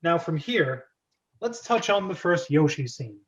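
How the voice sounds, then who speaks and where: Animated, higher-voiced man hosting a script reading. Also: a little slow, highs cut off. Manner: playful, self-interrupting, chatty. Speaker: host and narrator of script reading club. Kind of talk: casual amateur script reading with commentary.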